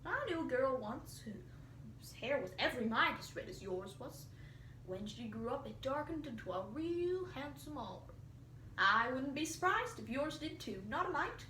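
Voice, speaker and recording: A woman's voice: sits high, big pitch swings, moderate pace, bright, forceful tone, exaggerated voice. Woman playing folksy older country elder, roomy sound. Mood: relenting, kindly. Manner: homely, matter-of-fact, chiding yet kindly. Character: plain-spoken older country folk, gruffly kind and folksy. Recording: roomy, distant mic, faint hiss.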